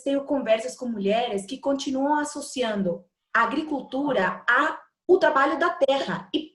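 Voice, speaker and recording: Woman, higher voice, measured and hesitant, roomy echo. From Colombia. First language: Spanish. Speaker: guest, trading company executive. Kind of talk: interview answers.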